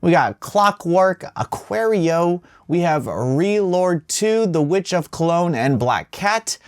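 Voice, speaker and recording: A man's voice: sits high, lively intonation, slow pace, no echo, studio quality. A man hosting video game news in an informal, wry commentary style.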